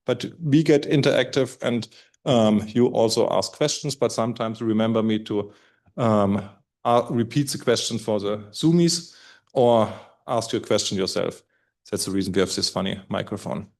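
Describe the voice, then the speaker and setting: A man with a slightly low voice and a German accent opens a workshop in a conference room. He talks loosely and thinks aloud, filling pauses as he goes. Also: vocal fry, darkish tone. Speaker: workshop course leader. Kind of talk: relaxed spoken introduction to a live workshop.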